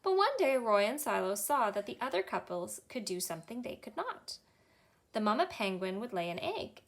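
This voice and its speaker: A woman in her thirties with a Canadian accent, a children's librarian reading a picture book aloud in a cheerful, expressive storytime manner. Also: on the high side, moderate pace. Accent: Canadian English. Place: small indoor library room.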